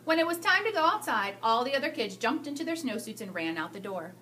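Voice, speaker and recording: A woman in her thirties reading a picture book aloud to children, with expressive, drawn-out emphasis. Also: on the high side, a little hiss or hum. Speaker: school staff storyteller reading to children. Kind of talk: animated children's read-aloud.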